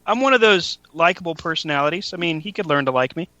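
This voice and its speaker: Guy hosting a wrestling podcast, bright forceful voice, kinda high, jokey, sounds lo-fi and dry. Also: expressive, fast, dry recording. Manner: joking, laughs often, self-mocking. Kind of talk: casual chat. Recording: dull lo-fi podcast audio.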